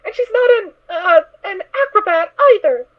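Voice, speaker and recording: A woman voicing a cheerful, naive little pony filly in an audio drama, with a squeaky, childlike, excitable way of talking. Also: moderate pace. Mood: playful, stubborn. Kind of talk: fan audio drama character acting. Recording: thin, phone-like narrow sound.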